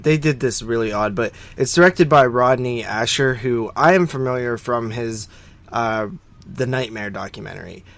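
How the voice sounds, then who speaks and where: A man co-hosting a podcast, chatting casually about films in a halting, rambling way that often doubles back. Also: mid-range voice. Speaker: podcast co-host. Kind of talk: casual film review chat.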